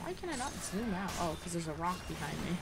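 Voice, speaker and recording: A woman's voice: on the low side, sing-song, fast talker, over loud music. A woman giving casual let's-play commentary as a gaming host, rambling and easily sidetracked.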